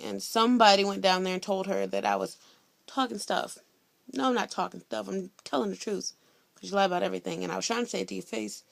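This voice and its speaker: A teenage girl from Indiana, chatting straight to her camera about school drama. She talks in a blunt, sassy, slangy way, and her voice has a loud edge. Her voice sits at a middle pitch and drags into a gravelly fry. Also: dry recording. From Indiana, USA. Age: teens. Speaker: vlogger. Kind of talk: casual storytelling vlog.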